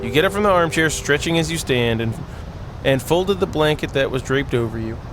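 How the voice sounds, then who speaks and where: A man's voice: on the high side, animated, a little fast, rough recording. A man doing casual let's play commentary and reading a visual novel aloud, with playful asides and dramatic reading. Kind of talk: casual gameplay commentary and read-aloud narration. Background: game music.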